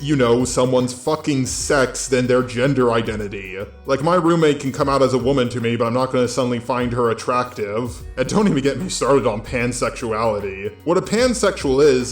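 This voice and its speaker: A man's voice: mid-range voice, roomy sound. A man giving conversational opinion commentary in a blunt manner with sardonic asides. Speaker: video commentator.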